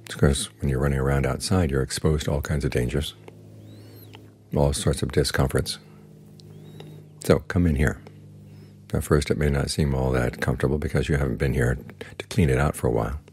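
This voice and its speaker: An older man, a Buddhist monk, giving a slow, calm, plainspoken guided meditation talk. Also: rumbling low, a little hiss or hum. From United States.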